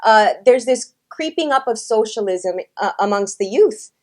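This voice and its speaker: A woman's voice: a little high, animated, moderate pace, a little mellow. A woman hosting a political interview show, talking in an emphatic, indignant way. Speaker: talk show host.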